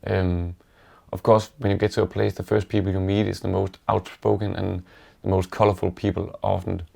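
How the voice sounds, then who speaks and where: A man with a Danish accent, a native Danish speaker, casually and reflectively telling stories in an interview with halting pauses. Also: deep voice, flat delivery, vocal fry, mellow. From Denmark.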